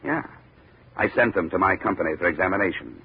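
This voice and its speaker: A man's voice: low-pitched, animated, fast, slight background noise. A man playing a tough, sardonic insurance investigator in a 1950 radio detective drama, with a clipped, wisecracking delivery. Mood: sardonic, confrontational. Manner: wisecracking, hard-boiled, clipped. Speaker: lead actor and narrator. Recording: tinny old 1950 radio recording.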